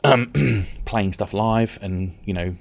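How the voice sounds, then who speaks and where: Low-pitched man giving an informal software tutorial. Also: fairly even intonation, slow. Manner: rambling, conversational explaining. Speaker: music technology tutor. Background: synth and vocoder music.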